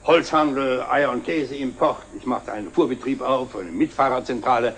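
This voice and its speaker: An actor playing a jovial, self-made older company director, giving a proud, deliberate speech in a 1960s German TV drama. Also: male voice, mid-range voice, lightly acted. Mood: wry, proud. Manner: expansive, deliberate speechmaking with wry chuckles. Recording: slightly noisy old 1960s TV soundtrack.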